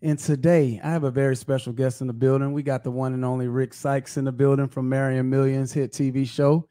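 A man hosting a casual podcast, talking in a hyped, slangy way with loud greetings. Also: medium pitch, little pitch movement, fairly quick, dry recording, studio quality. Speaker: podcast host.

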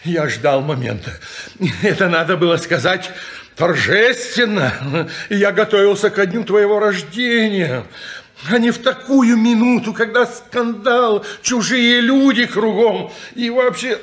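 A man's voice: high-pitched, measured pace, fairly bright tone. An actor playing a fussy, lecturing old man who owns the house, in a 1950s radio play. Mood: flustered. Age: older.

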